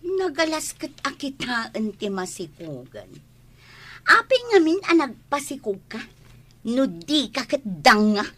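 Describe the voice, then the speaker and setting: A scolding, sarcastic Filipino woman in a radio drama. Her high, sharp voice swings widely as she nags. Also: very animated, takes it slowly, heavily acted. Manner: nagging, sarcastic. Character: scolding, scornful elder sister or housewife. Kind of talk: Ilocano radio comedy-drama acting.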